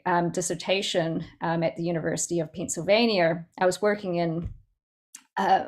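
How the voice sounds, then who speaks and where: A woman with a Southland New Zealand accent, answering questions as a poet in an informal, thoughtful way, often searching for her words. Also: mid-range voice. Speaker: poet and guest speaker. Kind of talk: informal question-and-answer talk at a poetry event.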